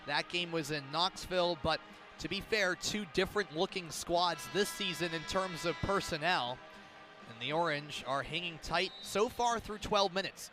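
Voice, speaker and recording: A man's voice: fairly high pitch, bright, forceful tone. A man doing live radio basketball play-by-play in clipped, vivid calls. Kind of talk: live sports commentary. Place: basketball arena during live games. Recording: clear arena noise, bouncing basketball.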